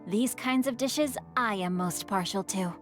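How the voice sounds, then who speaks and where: A woman's voice: medium pitch, measured pace, music in the background, close to an everyday voice. A woman voicing a refined, playful, bookish teenage boy in a video game, with a florid, genteel manner.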